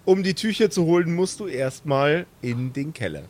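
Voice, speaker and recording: A man's voice: fairly high pitch, expressive, moderate pace, darkish tone, dry recording. A man narrating an improvised tabletop game as game master, with a playful, teasing manner.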